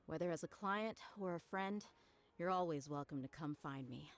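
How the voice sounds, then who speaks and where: A woman's voice: low-pitched, moderate pace. An actress playing a confident, friendly female desert mercenary in a video game, talking in a casual, easygoing way. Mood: warm, fond. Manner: casual, relaxed, teasing warmth. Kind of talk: video game cutscene voice acting.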